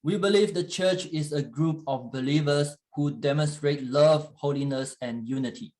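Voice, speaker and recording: A man delivers a sermon in English, which is not his first language, in a slightly raised pitch. He speaks haltingly, pausing and restarting as he searches for words. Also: darkish tone. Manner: halting, with frequent fillers and restarts. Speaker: guest church leader. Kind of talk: sermon in English with live interpretation.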